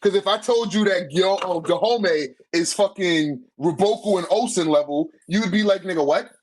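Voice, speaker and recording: Male, very high-set voice, casual argumentative talker, heavy slang, emphatic delivery. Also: normal speed. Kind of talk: casual debate about manga.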